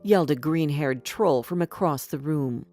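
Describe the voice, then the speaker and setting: A woman's voice: deep voice, normal speed, dark tone, dry recording. A woman narrating a scripted fairy tale for children as a storyteller. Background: background music.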